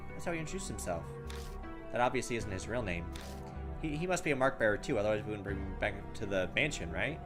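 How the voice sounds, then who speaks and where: A man reading a visual novel aloud in a let's play, expressive and playful, with asides. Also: lowish voice, fast, over music.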